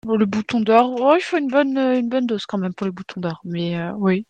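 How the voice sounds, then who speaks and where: A woman's voice: fairly high pitch, brisk, darkish tone, no room sound, highs cut off. A woman playing a tabletop roleplaying game who speaks in character, politely and matter-of-factly. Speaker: player.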